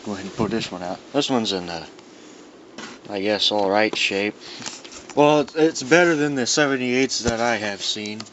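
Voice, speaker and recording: Low-voiced man rambling over noisy lo-fi audio. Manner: rambling, musing to himself as he handles things. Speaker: record collector presenting his albums. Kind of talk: casual unscripted show-and-tell. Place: indoors in a dimly lit room. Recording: dull lo-fi sound with clear background noise.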